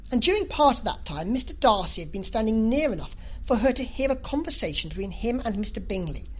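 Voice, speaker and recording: A woman reading a literary novel aloud as an audiobook narrator, in an expressive, scripted style. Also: mid-range voice, breathy edge, rough recording.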